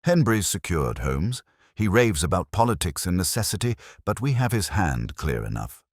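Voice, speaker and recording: A man voicing a weary, gruff police inspector in a storybook, blunt and colloquial, grudgingly admiring. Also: deep voice, very animated, normal speed, dry recording, clean studio sound, voice pushed up, exaggerated voice. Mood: weary, uneasy.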